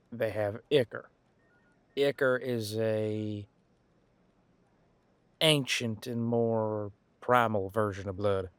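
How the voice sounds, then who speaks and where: A man playing a centuries-old, easygoing detective in an audio roleplay, talking casually and folksily as he muses aloud with pauses. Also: mid-range voice, dry recording, natural voice. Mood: explaining. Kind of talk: detective roleplay audio, one side of a conversation.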